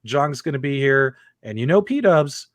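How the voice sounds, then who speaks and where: A man's voice: medium pitch, animated, unhurried, no room sound. An enthusiastic man hosts a casual livestream chat. He repeats phrases for emphasis, and his voice sounds dry and close, with very little room around it. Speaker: host of a video game talk show.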